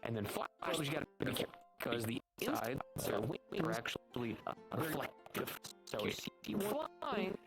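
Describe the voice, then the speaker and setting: Male, mid-pitched, patient in-character explainer for a fantasy guild insect keeper, soft music underneath. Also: very animated, normal speed. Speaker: roleplayer playing a guild insect expert. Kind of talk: improvised in-character explanation in an online roleplay. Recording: faint music behind the voice. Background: faint music.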